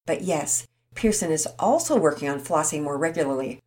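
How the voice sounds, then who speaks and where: A gentle, humble mother who speaks kindly to the children, as a woman reading puts her on. Her voice moves lightly with lively rises. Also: low-pitched, moderate pace. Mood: warm. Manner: gentle, homely.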